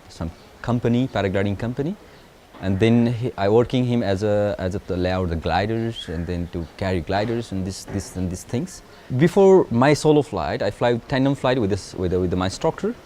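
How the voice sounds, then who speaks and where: A man from Nepal with a Nepali accent, a paragliding pilot telling his story in an interview in halting English full of restarts. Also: low-pitched, a little mellow, a little hiss or hum. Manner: halting non-native English with many restarts. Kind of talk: interview, recounting his life.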